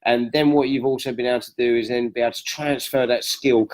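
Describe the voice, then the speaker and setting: A man's voice: mid-range voice, fairly even intonation, fast talker, low-quality recording. Enthusiastic man hosting an interview. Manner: enthusiastic, praising, laughs often. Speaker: host. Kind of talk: casual interview chat.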